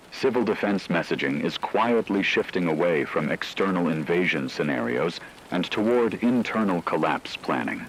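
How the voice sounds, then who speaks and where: A man playing a grave radio anchor, delivering a scripted emergency broadcast in a measured, formal manner. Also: sits low, a little hiss or hum, low-quality recording.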